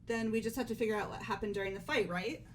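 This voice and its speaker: A woman's voice: a little high, steady tone, fast talker, close to an everyday voice. A woman voicing a poised, inquisitive student in a fan-dubbed mystery game. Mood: probing. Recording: faint background noise.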